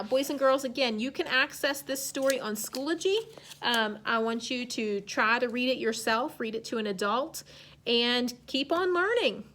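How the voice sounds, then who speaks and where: A woman's voice: on the high side, fairly bright tone. A woman speaking as an elementary teacher to young children in a read-aloud lesson, instructing in simple phrases and asking questions.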